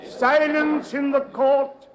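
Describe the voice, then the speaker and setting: A stern court clerk calls out in a very high, loud, formal voice. The officious proclaiming belongs to an old 1940s radio play. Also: male voice, flat delivery, slow, some chatter behind, a little hiss or hum. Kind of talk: 1940s radio drama acting.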